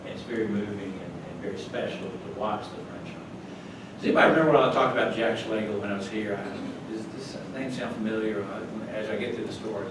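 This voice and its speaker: A man's voice: fairly low pitch, steady tone, brisk. An older man from North Carolina, giving a chatty, folksy slide talk to a club with chuckling asides. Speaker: club guest speaker. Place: meeting hall. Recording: echoey room from far off, noisy.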